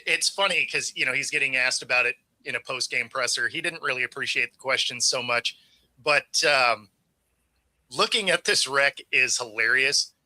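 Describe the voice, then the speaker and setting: A man's voice: medium pitch, sharp tone, dry recording, low-quality recording. A man hosting a sports talk show in casual panel chat over a video call, easygoing and joking, laughing often. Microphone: video call microphone.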